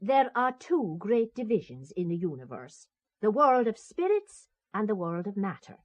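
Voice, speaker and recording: A sharp-tongued, devout Irishwoman in an audio drama. Her Irish accent rises and falls with great animation as she argues and teases. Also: medium pitch, sing-song, normal speed, muffled recording. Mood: earnest. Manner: spirited, argumentative, teasing.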